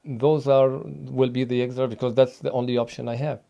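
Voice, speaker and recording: Tunisian-born man, mellow fitness trainer. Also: mid-range voice, level tone, a little fast, vocal fry. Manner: emphatic, hesitant with many fillers. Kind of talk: casual interview talk.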